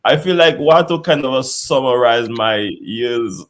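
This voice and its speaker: A man with a Dominican accent, a chef answering interview questions in a relaxed, reminiscing way. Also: mid-range voice, unhurried. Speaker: chef guest.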